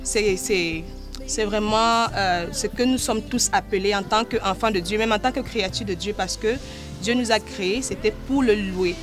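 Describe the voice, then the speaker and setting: A woman's voice: medium pitch, normal speed, over loud music. A woman with a Cameroonian accent speaking as an interviewed gospel artist, in an earnest, devout manner. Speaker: gospel artist and choir director being interviewed. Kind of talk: interview talk about life and music.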